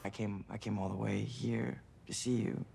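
An actor playing an awkward, nervous young man in love in a film, speaking haltingly. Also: lowish voice, steady tone, creaky, dark tone, slightly rough, heavily acted. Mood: awkward, hesitant. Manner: halting, hesitant. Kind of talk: naturalistic film acting.

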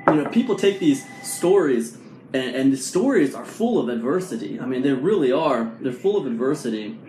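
A man in his forties telling a motivational story to camera in a casual, animated way, full of fillers and rhetorical questions, filmed with a phone at arm's length in a small room at home. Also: mid-range voice, moderate pace, background noise. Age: 40s. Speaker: vlog host. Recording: echoey room sound.

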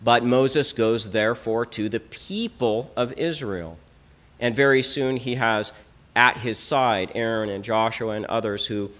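A man's voice: medium pitch, a bit bright. A middle-aged Orthodox priest giving a teaching talk at a lectern in a small church hall, emphatic and given to repeating words as he builds a point. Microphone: stand mic on lectern, filmed from a fixed camera.

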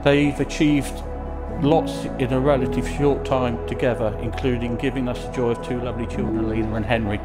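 An older man giving a measured, proud father-of-the-bride speech at a wedding. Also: mid-range voice, fairly even intonation. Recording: loud music laid over the speech. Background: music.

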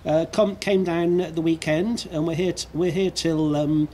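Casting: British male radio hobbyist, fairly high-pitched, hesitant and chatty, recorded outside with some background noise. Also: fairly even intonation, normal speed, a little mellow. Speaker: amateur radio operator. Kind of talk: casual radio contact and outdoor video commentary. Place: outdoors on a seaside rooftop. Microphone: video camera near the speaker. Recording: outdoor rooftop with clear background noise.

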